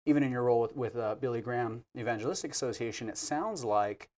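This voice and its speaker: A man's voice: medium pitch, quick pace, darkish tone. Playful man hosting a podcast chat. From New Mexico. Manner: playful, joking, thinks aloud. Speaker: podcast host. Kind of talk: casual interview chat.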